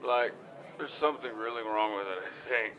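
A man's voice: medium pitch, moderate pace, close to an everyday voice. A man playing an anxious, clueless hotline caller in an audio drama, hesitant, flustered and meandering over a muffled line. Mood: nervous, rambling. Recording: dull, muffled phone-call sound.

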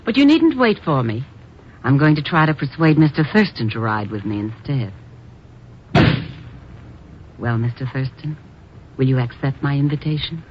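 A woman's voice: rumbling low, big pitch swings, moderate pace, pauses often. An actress playing a poised, arch, flirtatious society woman in a 1950s radio drama. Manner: formal, arch. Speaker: radio actor. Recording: muffled, hissy old radio recording.

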